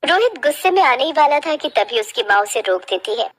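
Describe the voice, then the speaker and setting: High-pitched woman narrating a story. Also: big pitch swings, brisk. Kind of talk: scripted moral-story narration.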